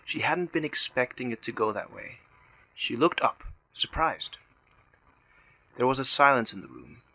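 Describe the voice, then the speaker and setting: A man's voice: medium pitch, animated, measured pace, sharp tone, rough recording. A man narrating a scripted dramatic story.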